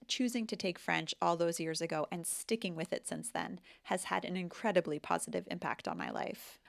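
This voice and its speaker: A woman's voice: on the low side, vocal fry. A woman in her forties with an American accent, a language teacher talking candidly and with self-deprecating humor in a casual vlog. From United States. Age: 40s. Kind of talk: casual reflective vlog talk.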